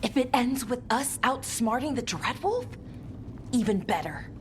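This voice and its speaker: A woman voicing a gentle, hopeful young female adventurer in a fantasy video game, sincere and a little hesitant. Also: fairly high pitch, lively intonation, unhurried, a little hiss or hum. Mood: earnest, hopeful. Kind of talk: video game character acting.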